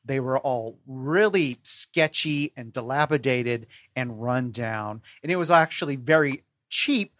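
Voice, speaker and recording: Man, American accent, middle pitch, podcast guest, casual book chat, deliberate, wry, dry recording. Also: fairly bright tone, no echo.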